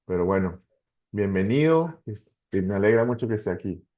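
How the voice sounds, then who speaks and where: A man's voice: lowish voice, lively intonation, brisk, dark tone. A Colombian man, a pastor, casually hosting an online class in an encouraging way with short repeated acknowledgements. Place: online video call.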